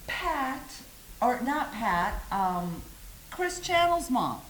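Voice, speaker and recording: A middle-aged woman co-hosting a talk show, blunt and teasing, with a loud voice. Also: on the high side, very animated, slight background noise, rough recording. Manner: teasing, blunt, quick comebacks. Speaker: co-host. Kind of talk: bantering talk show chat.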